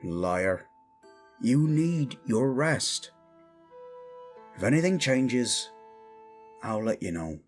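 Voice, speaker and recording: A man playing a protective, devoted young commoner guarding royalty in a fantasy audio drama, gruff and intense. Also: mid-range voice, very animated, drawn-out words, long pauses, soft background music. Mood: tender. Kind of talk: fantasy audio roleplay acting.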